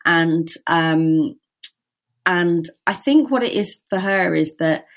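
A woman's voice: on the low side, slow. A woman novelist, born in Britain with Gujarati as her first language, talking thoughtfully in an interview about her book, often restarting her sentences. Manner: thoughtful, with frequent restarts and "you know". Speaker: novelist guest. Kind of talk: conversational interview.

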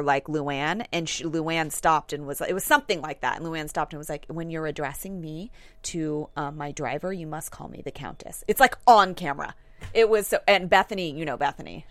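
Woman, podcast co-host, casual gossip chat, lower voice, dry close mic. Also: dry recording. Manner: chatty, quick back-and-forth, lots of fillers.

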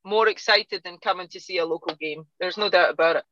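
A Scottish woman talks through a casual podcast interview with a bright, forceful tone. She restarts her sentences often and fills gaps with "um". The sound is dull and a little muffled, recorded dry with no room echo. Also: on the low side, fairly quick. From Scotland. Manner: chatty, frequent restarts and fillers, laughs easily. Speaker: guest soccer coach.

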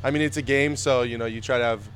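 A man's voice: medium pitch, steady tone, fast talker, a bit bright, a little hiss or hum. A young adult man, a Spanish native speaker, answering interview questions in an easygoing way with plenty of fillers. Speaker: interview guest, baseball prospect.

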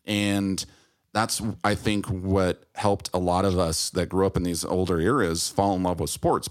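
A middle-aged American man hosting a podcast, speaking in an earnest, halting conversational way. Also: low-pitched. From Utah, United States. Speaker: podcast host. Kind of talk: conversational podcast interview with scripted-sounding introduction.

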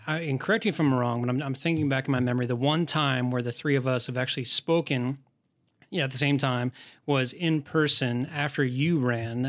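A man co-hosting a podcast in friendly casual chat, halting and full of restarts. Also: mid-range voice. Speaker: podcast co-host.

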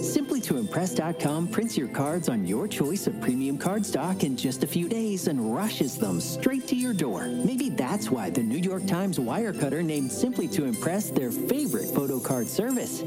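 Female ad announcer, low register, animated promotional read over music. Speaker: advertisement announcer. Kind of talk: scripted promotional narration.

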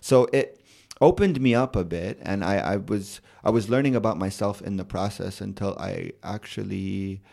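A man's voice: fairly low pitch, moderate pace, gravelly. A man in his twenties, a rapper and poet, talking casually in an interview, full of "like" and repeated "yeah". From United States. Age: 20s. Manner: relaxed, repeats words and fillers, many "like" and "yeah, yeah".

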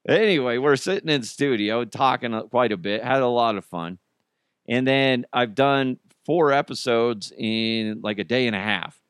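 A man hosting his own podcast in casual chat, his voice raspy and strained from talking a lot, rambling and poking fun at himself. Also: mid-range voice, a little slow, fairly bright tone, no room sound, clean studio sound. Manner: rambling, self-mocking, many restarts. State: hoarse, strained voice. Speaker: podcast host.

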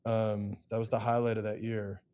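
A man's voice: fairly low pitch, flat delivery, normal speed, mellow. A middle-aged man with an American accent, telling his story candidly and self-deprecatingly as an interview guest. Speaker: interview guest, songwriter and producer.

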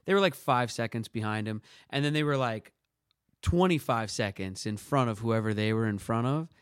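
A man's voice: mid-range voice, normal speed, smooth, dry recording, clean studio sound. Casual laid-back young man chatting. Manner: rambling, laughs often, does silly voices. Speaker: podcast co-host. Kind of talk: casual chat about motor racing.

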